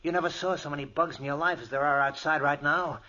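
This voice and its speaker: An actor playing a bold, sly lab assistant in an old-time radio mystery, speaking with insistent intensity. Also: male voice, a little high, quick pace, bright, forceful tone. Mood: ominous. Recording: muffled, noisy vintage radio recording.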